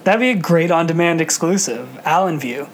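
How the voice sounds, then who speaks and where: A man guesting on a casual podcast, relaxed, swearing freely and laughing easily. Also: fairly high pitch, a little hiss or hum. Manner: laid-back, swears freely, quick laughs. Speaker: podcast guest, wrestling commentator.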